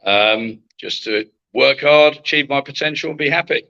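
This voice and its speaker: A man who manages boxers, speaking as a guest in a measured, hesitant way with many fillers. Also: medium pitch, vocal fry, sharp tone, lo-fi sound.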